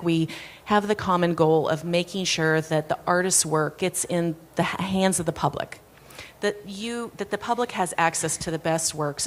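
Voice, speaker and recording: Woman, low voice, panel speaker, thoughtful, restarts now and then. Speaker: foundation executive director. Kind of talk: panel discussion answer. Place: conference stage.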